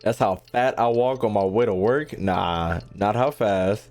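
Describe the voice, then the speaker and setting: A man's voice: lowish voice, measured pace, a little mellow, soft background music. A man reacting casually to a video game as a streamer, joking in crude slang and swearing freely. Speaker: gaming streamer.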